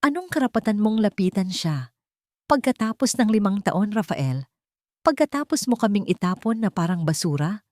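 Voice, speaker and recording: A woman's voice: mid-range voice, big pitch swings, normal speed, a little mellow, dry recording, pitched above the performer's own voice, natural voice. A woman voicing a hurt, hardened mother who speaks coldly and sternly in an audio story. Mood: angry. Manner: cold, stern, bitter. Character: hurt, hardened young mother guarding her son.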